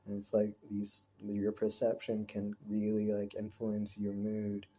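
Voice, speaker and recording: A man recording a casual personal vlog, talking in a rambling, introspective, halting way. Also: on the low side, completely flat delivery, warm tone. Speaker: vlogger.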